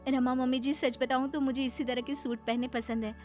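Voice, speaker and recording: High-voiced polite young daughter-in-law played by a woman. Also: level tone, brisk, a little mellow, soft background music, close to an everyday voice. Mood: pleased. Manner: polite, pleading. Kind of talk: Hindi moral-story cartoon dub.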